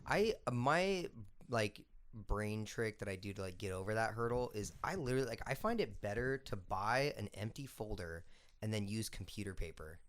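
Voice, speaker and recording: A young man co-hosting a casual podcast, goofy and laughing, with deadpan asides. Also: lowish voice, moderate pace. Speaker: podcast co-host. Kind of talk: casual chat.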